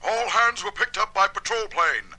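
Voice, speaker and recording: An actor playing a clipped, formal government official over a radio link in a cartoon adventure audio drama. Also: male voice, sits high, animated, normal speed, bright, forceful tone, exaggerated voice, rough recording. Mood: urgent. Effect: radio transmission.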